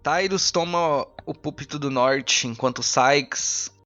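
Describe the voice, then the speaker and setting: A man's voice: fairly high pitch, slow, sharp tone, lo-fi sound, soft background music. A man with a Brazilian accent improvising narration as game master, conversational, often repeating himself as he searches for words.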